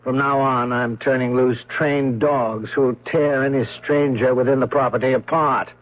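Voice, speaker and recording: An actor playing a stern, menacing guardian in a radio drama, speaking in a commanding, threatening way. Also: male voice, mid-range voice, fairly even intonation, moderate pace, a little hiss or hum, lightly acted. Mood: cold threatening. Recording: muffled old radio broadcast.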